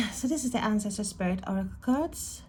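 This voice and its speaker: A woman from Ireland with a Swedish-tinged accent, chatting casually in a slow, thoughtful, meandering way as she reviews tarot and oracle decks at home. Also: medium pitch, expressive, slight background noise. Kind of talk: casual deck review chat.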